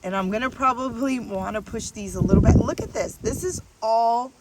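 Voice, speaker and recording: A woman's voice: a little high, moderate pace, a little mellow. A woman hosting a gardening vlog, chatting casually and narrating her hands-on work as she goes, in a rambling way. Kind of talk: casual chat while working. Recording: distant mic, noisy background.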